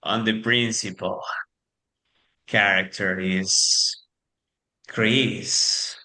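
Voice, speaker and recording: A man narrating a drama theatrically from a script, in halting non-native English. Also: lowish voice, fairly even intonation, pushed and bright.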